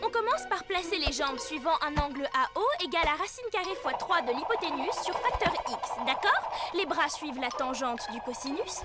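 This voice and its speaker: Very high-pitched know-it-all pupil. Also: female voice, lively intonation, moderate pace, hardly any pauses, over loud music. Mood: smug lecturing. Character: studious, self-assured young ninja pupil. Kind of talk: French cartoon dubbing.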